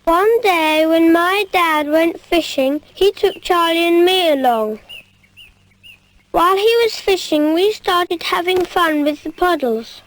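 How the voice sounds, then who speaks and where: Earnest, very high-pitched little boy. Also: a little hiss or hum, rough recording. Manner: slow, simple, childlike storytelling. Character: earnest little boy telling cautionary tales about his pet. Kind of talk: cartoon safety film narration.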